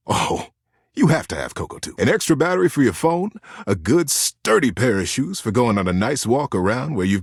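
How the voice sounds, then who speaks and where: Cuddly husky teddy bear, wildly expressive. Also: male voice, fairly high pitch, dry recording, clean studio sound, exaggerated voice. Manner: cozy, encouraging, folksy advice-giving with drawn-out words. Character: cuddly, earnest, big-hearted talking teddy bear. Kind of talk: video game character acting.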